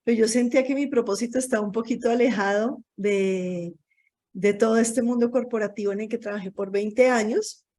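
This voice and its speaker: A woman's voice: fairly high pitch, brisk, warm tone. A woman in her forties with a Colombian accent, talking casually about herself as an interview guest, often rambling and correcting herself. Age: 40s. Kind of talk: casual autobiographical talk. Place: online video call.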